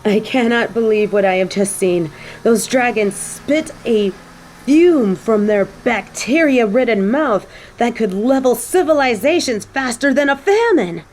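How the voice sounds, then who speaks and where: Woman acting a prim, high-voiced, unhurried fallen angel lady. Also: expressive, darkish tone, slight background noise. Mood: awed, chatty. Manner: prim, formal, wordy phrasing. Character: snobby, easily delighted fallen angel woman, secretly soft. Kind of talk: scripted audio roleplay acting.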